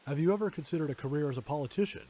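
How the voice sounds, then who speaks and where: A man voicing a suave, menacing, fanatical politician in a visual novel, speaking in a theatrical, measured, pompous way. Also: a little high, warm tone, lightly acted, low-quality recording. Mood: smooth, earnest.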